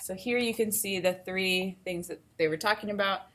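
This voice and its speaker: A woman teacher giving an instructional read-aloud, explaining and thinking out loud as she goes. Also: mid-range voice, moderate pace.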